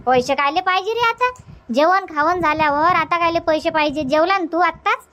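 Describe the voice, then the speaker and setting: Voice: very high-pitched, brisk, pushed and bright. A performer voicing a grumpy, suspicious old cartoon grandmother who snaps out questions in a Varhadi Marathi accent. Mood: refusing. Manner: snappish, scolding, firing questions. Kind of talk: cartoon comedy dubbing.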